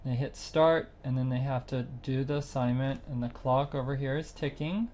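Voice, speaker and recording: A man narrating a screencast tutorial, explaining a music training website step by step in a plain, unhurried way with occasional fillers. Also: mid-range voice, steady tone, darkish tone, a little hiss or hum. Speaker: site instructor demonstrating software.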